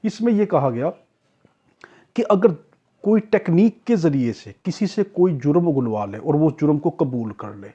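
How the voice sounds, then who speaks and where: Higher-voiced Pakistani man lecturing, muffled recording. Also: lively intonation, normal speed, warm tone, husky. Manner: didactic, asks questions and answers them himself. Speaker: law teacher, lecturer. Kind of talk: explanatory lecture with acted-out examples.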